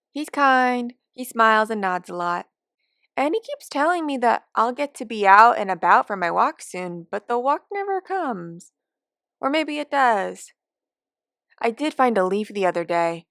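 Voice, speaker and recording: A woman's voice: on the high side, fairly bright tone, no echo, studio quality. A woman voicing a cranky, forgetful elderly care home resident in a wry, rambling, complaining monologue from a short story. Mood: suspicious, indignant. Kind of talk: first-person dramatic monologue of short fiction.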